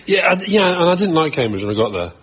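Dry, husky-voiced middle-aged man. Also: a little high, big pitch swings, rapid-fire, fairly bright tone. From England. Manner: dry, matter-of-fact. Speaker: guest. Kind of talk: interview answers. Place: radio studio. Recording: slightly dull radio studio sound.

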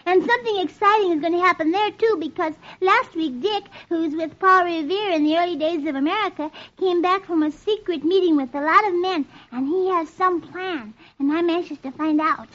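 An excitable little girl speaks in a tiny, very high voice. She is gushing, naive and impatient, in the style of old radio children's shows. The sound is dull and muffled. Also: a little fast, few pauses. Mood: eager, excited. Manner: breathless, gushing, naive questioning. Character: eager, impatient little girl hearing comics read. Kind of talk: old-time radio children's program acting.

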